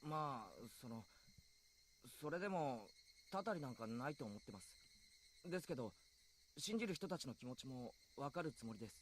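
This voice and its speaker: A man's voice: mid-range voice, expressive, racing, pauses often, a little hiss or hum, lightly acted. A man voicing an earnest, hesitant teenage boy in a Japanese visual novel, skeptical and uneasy but reasonable.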